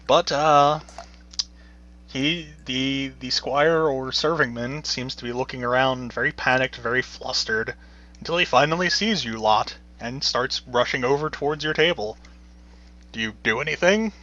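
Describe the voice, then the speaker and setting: Bright-voiced man running a tabletop game. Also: medium pitch, measured pace. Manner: relaxed, laid-back narration with frequent fillers. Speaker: game master. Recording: dull lo-fi recording.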